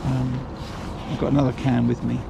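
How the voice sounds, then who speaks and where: A man's voice: mid-range voice, normal speed, gravelly, over music, poor audio. A man giving a casual running commentary to himself while fishing by a pond, recorded on a body-worn camera. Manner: relaxed, muttering to himself, calls out to a friend. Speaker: angler vlogger. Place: outdoors by a pond. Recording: outdoor recording with noticeable wind and ambient noise.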